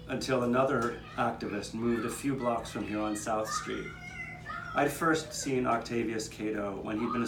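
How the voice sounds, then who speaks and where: A man performing first-person historical storytelling as the narrator of a live puppet show, playing a neighborhood eyewitness. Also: mid-range voice. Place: outdoor courtyard performance. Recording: roomy, distant, noisy live courtyard recording.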